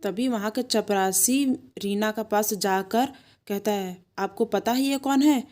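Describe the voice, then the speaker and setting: A woman narrating an animated moral tale in a scripted storytelling style. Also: medium pitch, a little slow.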